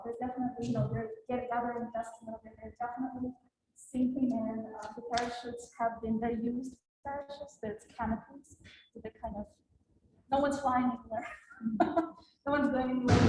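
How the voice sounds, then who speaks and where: A woman's voice: fairly high pitch, a little mellow. Reflective woman artist in echoey gallery. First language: Russian. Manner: hesitant, reflective, laughs often. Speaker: exhibiting artist. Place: gallery exhibition space. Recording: echoey gallery with faint room noise.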